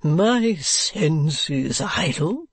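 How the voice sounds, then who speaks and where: A foppish, affected gentleman of a classic storybook, acted out by a narrator. He drawls and stretches his words with melodramatic, poetical flourish. The sound is dry and close. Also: medium pitch, lively intonation, very slow, slightly rough, no room sound, pitched above the performer's own voice, exaggerated voice. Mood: languid, lovesick. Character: foppish, affected, drawling adult male dandy husband. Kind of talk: classic novel audiobook character.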